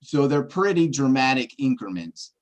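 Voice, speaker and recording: A man's voice: medium pitch, sing-song, normal speed. Casual man explaining puzzle clues. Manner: walks through puzzle clues step by step, asking for agreement. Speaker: treasure-hunt searcher presenting clues. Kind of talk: casual livestream chat.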